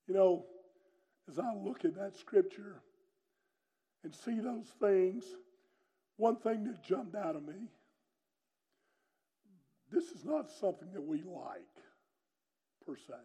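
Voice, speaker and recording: A middle-aged man, preaching a sermon with personal testimony in a loud, booming, strong delivery. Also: breathy edge. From North Carolina, USA. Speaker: pastor.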